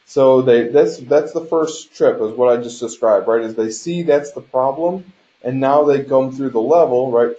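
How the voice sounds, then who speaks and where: A man's voice: medium pitch, mellow. A man giving an instructional lecture over a shared screen, explaining step by step and often checking in with a tag question. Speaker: game design instructor. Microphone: conference call microphone. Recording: dull, lo-fi conference call audio.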